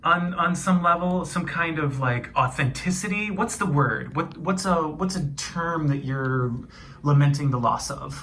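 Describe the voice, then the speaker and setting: A man's voice: fairly high pitch, a little slow, sharp tone, slight background noise. A man asking questions casually as an interviewer.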